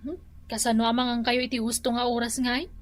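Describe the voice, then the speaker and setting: A woman's voice: a little high, level tone, fast talker, no echo, natural voice. An actress playing the old farmer's caring daughter who soothes and nags him about his health. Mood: nagging.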